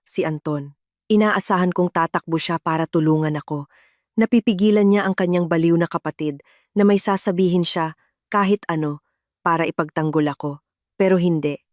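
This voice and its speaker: A woman narrates a dramatic story in the first person. Her voice sits a little low, and the sound is dry, with no room around it. The delivery is scripted storytelling. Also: darkish tone, dry recording.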